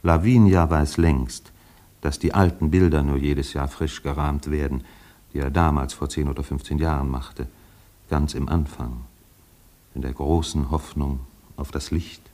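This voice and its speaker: An actor playing an observant storyteller, narrating a literary radio play in a measured, wry way. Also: male voice, extremely low pitch, fairly even intonation, dark tone. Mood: wry narration. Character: observant storyteller describing island townsfolk.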